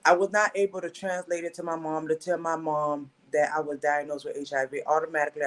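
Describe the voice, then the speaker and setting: Thirties woman, Haitian Creole native, guest telling her life story with earnest pauses. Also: deep voice. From Haitian family, raised in Florida. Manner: earnest, pausing, self-correcting. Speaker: podcast guest. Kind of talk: personal storytelling.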